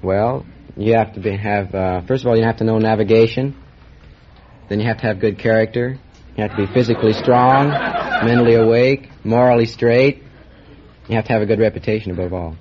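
An earnest man gives plain, slightly hesitant answers as a quiz contestant. His voice is on the low side. The old radio recording is muffled and heavily noisy. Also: a little fast.

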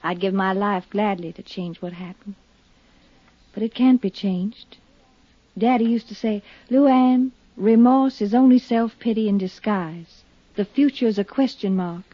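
A woman's voice: medium pitch, measured pace, no room sound, natural voice. An actress playing a poised, earnest, grieving young woman in a 1950s radio drama, confiding yet firm. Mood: wistful, earnest. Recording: dull, dry 1950s radio recording.

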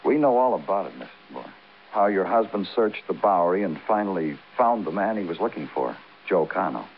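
An actor playing a world-weary big-city detective, blunt and brooding, in a 1950s hardboiled radio crime drama. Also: male voice, deep voice, big pitch swings, fairly quick, a little hiss or hum. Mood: pressing. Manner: blunt questioning, brooding poetic narration. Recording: dull old radio broadcast.

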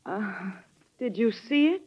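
A woman's voice: a little high, expressive, slow. A woman playing a tactful, sensible housekeeper in an old radio drama, polite, composed and firm. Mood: firm, reassuring. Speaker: actor. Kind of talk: classic American radio drama acting. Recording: dull old radio recording.

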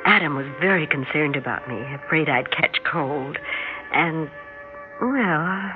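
Sly suburban wife, animated old-radio voice. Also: female voice, low-pitched, measured pace, phone-quality sound. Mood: composed, confiding. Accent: American. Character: sly, self-assured suburban wife with secret psychic gift. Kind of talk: 1960s radio drama acting and first-person narration. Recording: thin, band-limited old radio, music behind.

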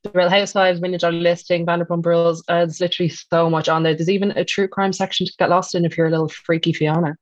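A woman's voice: on the low side, level tone, no echo. A woman reading a sponsor ad in a breezy, upbeat way. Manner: breezy, quick, upbeat listing. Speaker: ad read co-presenter. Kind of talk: scripted ad read. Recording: dull dry lo-fi audio.

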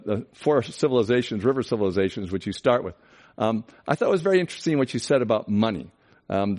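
A man's voice: mid-range voice, a little mellow. A man interviewing in a conversational style, talking discursively and building up long questions.

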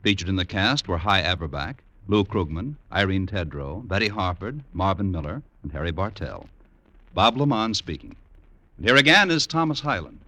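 A man's voice: deep voice, very animated, normal speed, sharp tone. A man with an American accent reading scripted 1950s network radio announcements in a formal, polished, emphatic way.

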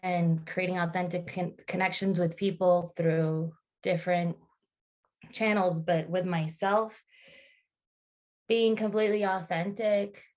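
Low-voiced woman talking reflectively. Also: steady tone, a little mellow. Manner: thoughtful, halting with many restarts and fillers. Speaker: podcast guest. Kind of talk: casual interview conversation.

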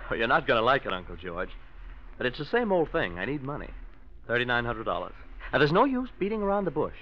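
An actor playing a spendthrift young nephew, defensive and slangy, in 1940s radio crime drama style. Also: male voice, mid-range voice, huge pitch swings, fast. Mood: pleading. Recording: dull old radio recording.

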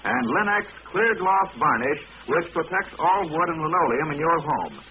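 A man's voice: a little high, sing-song, normal speed. A man reading a scripted 1940s radio advertisement as sponsor announcer, in a polished, declamatory selling manner. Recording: tinny old radio recording, faint noise.

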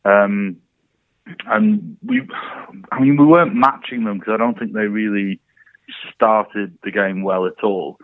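A man's voice: fairly low pitch, expressive, measured pace, vocal fry, no echo, narrow, tinny audio. A man co-hosting a podcast over a remote call, chatting casually and wryly on a thin line. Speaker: podcast co-host. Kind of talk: casual chat and football discussion.

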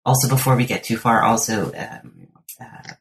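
A woman's voice: a bass voice, very animated, normal speed, creaky. A woman hosting a podcast interview, asking long, rambling questions full of fillers. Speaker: podcast host.

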